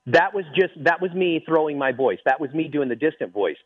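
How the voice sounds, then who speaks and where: A middle-aged man with a naturally high speaking voice, a ventriloquist telling stories with eager restarts in a phone interview. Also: lively intonation, no echo. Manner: eager, quick storytelling with many restarts. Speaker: ventriloquist guest. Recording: tinny phone line, dry.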